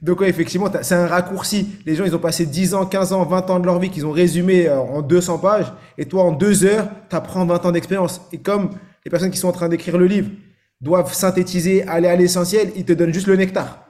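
A man hosting an interview podcast, speaking in a slightly raised, warm voice. He keeps affirming his guest and asks loose, rambling questions. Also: sits high, steady tone, fairly quick. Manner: affirming, frequent "d'accord". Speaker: podcast host. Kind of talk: casual interview conversation.

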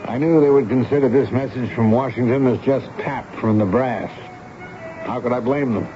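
A man's voice: medium pitch, normal speed, faint music behind, natural voice. A man playing a formal, authoritative Civil War officer in a 1970s radio drama. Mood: weary narration. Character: male Civil War army officer commanding a fort, formal, dutiful. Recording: dull, noisy vintage radio broadcast.

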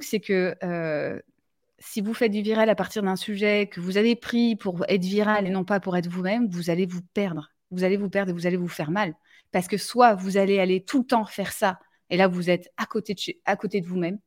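A woman's voice: mid-range voice, darkish tone. A woman hosting a live talk, speaking directly and plainly, often restarting her sentences. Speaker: live show host. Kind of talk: casual live discussion.

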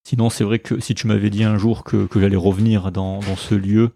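A man's voice: fairly low pitch, little pitch movement, brisk, vocal fry. A young man hosting a French podcast interview, speaking with enthusiasm and frequent restarts. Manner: enthusiastic, with many fillers and restarts. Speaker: podcast host. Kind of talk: conversational interview and sponsor read. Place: visiting Harvard, Boston.

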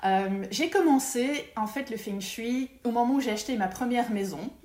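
Woman chatting about her consulting work, kinda high voice, lots of "euh" and restarts, echoey room. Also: moderate pace. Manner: lively, many hesitations and restarts. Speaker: feng shui consultant, podcast guest. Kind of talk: casual interview conversation.

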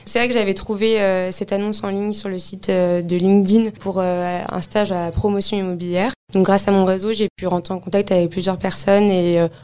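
A woman's voice: medium pitch, brisk, hardly any pauses, clean tone. A woman in her twenties from Paris, talking easily about her career in a podcast interview, with frequent hesitation fillers. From Paris, France. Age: 20s. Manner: relaxed, conversational, frequent "euh" fillers. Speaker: office real estate consultant, guest.

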